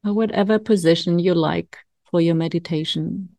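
A woman leading a guided meditation as a coach, giving calm, soothing instructions with long pauses. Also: on the low side, gravelly, no echo.

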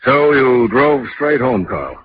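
A stern police inspector from a 1940s radio crime drama. He questions dryly and deliberately, never letting go. The sound is muffled, with faint noise behind him. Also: mid-range voice, sing-song. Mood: probing. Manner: deliberate, interrogating. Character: stern male police inspector, dry and relentless. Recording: muffled, noisy old radio recording.

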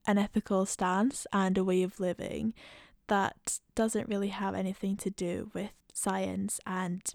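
Young Scottish-sounding woman, creaky voice. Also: medium pitch, level tone, measured pace, a little mellow, no room sound, clean studio sound. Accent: mostly Scottish, slightly international. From Central Europe. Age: 20s. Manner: informal, personal, explanatory. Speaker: podcast host, medical student. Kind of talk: solo podcast introduction, informal explanatory talk.

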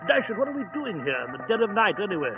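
An actor playing Doctor Watson, a proper and excitable English gentleman, in a 1940s radio drama. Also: high voice, very fast, music in the background. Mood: puzzled. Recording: old phone-like radio transcription.

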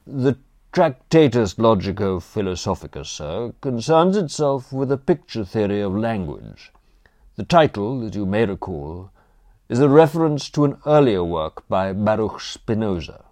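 A man voicing an unflappable, deferential gentleman's valet in an audiobook, speaking in measured, formal phrases. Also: fairly low pitch, very animated, dry recording. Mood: calm, deferential. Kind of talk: audiobook character dialogue.

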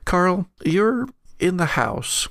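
A man narrating as game master of a gothic horror tabletop game, deliberate and storyteller-like, with pauses. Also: high-pitched, sing-song, fairly bright tone, no room sound, clean studio sound.

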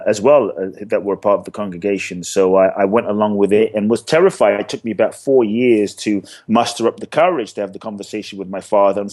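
A man's voice: on the low side, a little mellow. A man raised in London telling his life story as a podcast guest, earnest and repeating phrases for emphasis. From born in Ghana, grew up in London. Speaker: guest, speaker and teacher. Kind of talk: personal story in a podcast interview.

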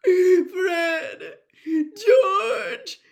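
A woman voicing a distraught middle-aged mother, high and loud, sobbing with worry. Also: very animated, normal speed, exaggerated voice. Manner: high, loud, breathless pleading.

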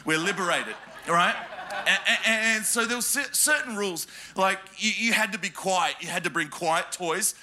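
An Australian man preaching to a church congregation. His voice sits high and rings very bright. He throws questions at the crowd and mixes jokes into his stories. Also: moderate pace. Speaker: pastor. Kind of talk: sermon with personal stories. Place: church hall before a congregation.

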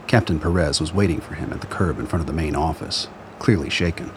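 A man with a gravelly voice reading scripted dramatic narration in a measured, brooding storytelling manner. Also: extremely low pitch, noisy background.

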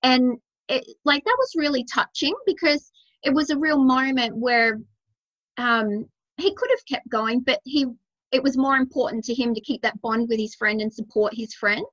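A woman's voice: high voice, moderate pace, a bit bright. A woman podcast host, chatting in an effusive, enthusiastic way. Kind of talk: conversational interview. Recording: slightly dull audio.

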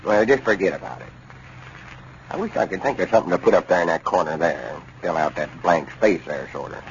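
An actor playing a proud, touchy older country storekeeper with a folksy rural Arkansas drawl in a 1930s radio comedy. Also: male voice, deep voice, brisk. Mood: grudging. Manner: folksy, self-important drawl. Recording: muffled, noisy 1930s radio recording.

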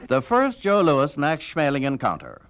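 A man's voice: fairly high pitch, huge pitch swings, normal speed, slight background noise. A man reading scripted narration as the narrator of a documentary.

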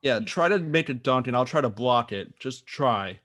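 A man's voice: medium pitch, animated, normal speed, a bit bright. A man voicing a taunting, tough older kid bully in an improvised comedy sketch. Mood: taunting, boastful.

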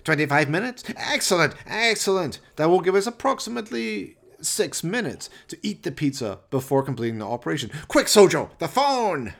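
A man playing an evil, excitable mad scientist in a radio play, pompous, theatrical and fawning toward his master. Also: on the high side, moderate pace, vocal fry, hardly any pauses, pitched above the performer's own voice, exaggerated voice. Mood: gleeful, excited. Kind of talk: radio play villain acting.

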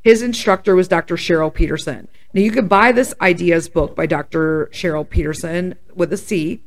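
A woman in her fifties from Chicago, hosting a casual solo podcast chat. She is slightly hoarse and congested, and she talks candidly with bursts of excited whoops. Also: on the low side, normal speed. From Chicago, USA. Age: 50s. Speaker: podcast host.

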